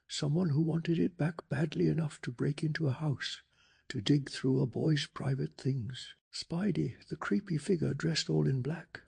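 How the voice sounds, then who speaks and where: Soft-spoken, gravelly male ASMR storyteller. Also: fairly high pitch. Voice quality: breathy, gravelly. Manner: hushed, calming, dramatic storytelling.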